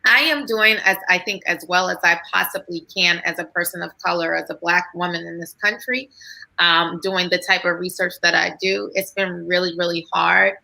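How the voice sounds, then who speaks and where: An earnest woman talks as a guest over a video call, stopping often to gather her words. Her voice is bright and keen. She keeps it fairly low and even. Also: vocal fry, low-quality recording. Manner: earnest, halting with fillers. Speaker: guest psychiatrist. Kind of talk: emotional video call talk.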